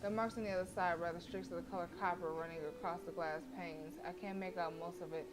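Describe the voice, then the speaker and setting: A woman's voice: fairly low pitch, fairly even intonation, quick pace. A woman livestreaming a horror visual novel, reading the game text aloud casually, stumbling and correcting herself as she goes. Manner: casual, stumbles over words and self-corrects. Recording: noisy livestream microphone.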